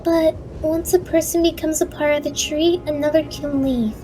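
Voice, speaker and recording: A woman in an anime-style fan dub playing a wistful, devoted young girl guardian with a measured, theatrical delivery. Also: high-pitched, fairly even intonation, dark tone, faint music behind, natural voice. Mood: resigned, wistful.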